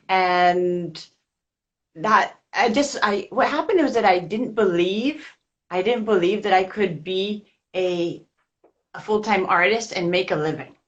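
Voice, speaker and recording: A woman from Spain, an artist, chatting casually in an interview over a video call and laughing often. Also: mid-range voice. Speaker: artist guest. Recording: dull lo-fi call audio.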